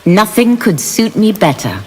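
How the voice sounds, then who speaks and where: An actress playing an earnest, burdened demon warrior seeking redemption, speaking gravely and formally in a video game. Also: female voice, mid-range voice, sing-song, a little slow, very noisy. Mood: earnest, resolute.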